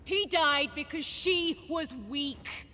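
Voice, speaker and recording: Sharp proud young noblewoman, high voice, bitter, game drama, big reverb. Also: slow pace, a little hiss or hum, exaggerated voice. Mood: bitter, defiant. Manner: sharp, haughty, accusing. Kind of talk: dramatic video game voice acting.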